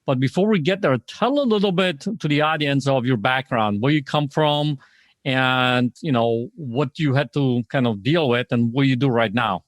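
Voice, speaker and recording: A man's voice: medium pitch, moderate pace, no echo. A man hosting a podcast in an upbeat, emphatic, motivational way, often posing rhetorical questions as he introduces and interviews. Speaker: podcast host.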